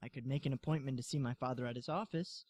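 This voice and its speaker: A man's voice: medium pitch, quick pace, a little mellow, lightly acted. Troubled youth male actor, soap drama: sullen, bitter, sardonic, mid-range voice. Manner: glum, sardonic. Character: troubled, sullen young male art student, withdrawn and bitter. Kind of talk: scripted audio soap drama acting.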